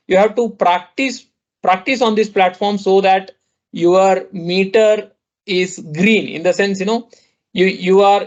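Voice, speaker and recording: High-voiced Indian man giving a halting demo. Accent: Indian English. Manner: halting, full of "you know" and restarts. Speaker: product demo presenter. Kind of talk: online sales demo presentation. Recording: dull lo-fi audio.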